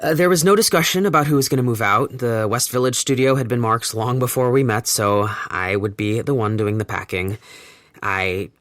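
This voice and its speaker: A man's voice: mid-range voice, moderate pace, fairly bright tone, no room sound, clean studio sound, close to an everyday voice. An actor playing a wry man looking back on a breakup, speaking a reflective first-person monologue with hesitant restarts. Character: wry man newly split from his partner. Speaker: narrator of an audio drama.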